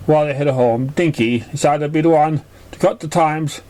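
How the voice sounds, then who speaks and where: A man's voice: on the high side, normal speed, dark tone. A man reading a story aloud as narrator, stumbling over words as he goes. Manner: stumbling, uneven reading with slips. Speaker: fan fiction reader.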